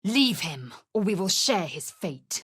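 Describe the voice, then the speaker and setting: Casting: fierce young female alien warrior for a fantasy game; low, husky, clipped, imperious. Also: very animated, slow, a bit bright, no echo, clean studio sound, exaggerated voice. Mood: disdainful. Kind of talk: video game character lines and narration.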